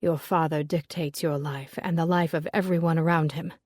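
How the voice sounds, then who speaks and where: A woman voicing an earnest, lovesick young gentleman, speaking low and hushed. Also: fairly even intonation, moderate pace, slightly rough, dry recording, clean studio sound. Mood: hushed pleading.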